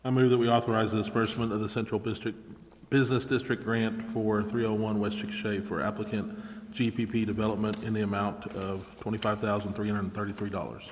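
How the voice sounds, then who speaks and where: Low-voiced man reading a motion formally. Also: flat delivery. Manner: formal, measured. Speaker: council member. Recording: reverberant chamber, faint hum.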